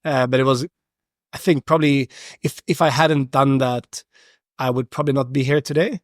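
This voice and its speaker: A man's voice: medium pitch, steady tone, moderate pace, no room sound. Man from Sweden, close dry studio sound. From Stockholm, Sweden. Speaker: podcast guest, agency founder. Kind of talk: casual interview conversation. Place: podcast studio.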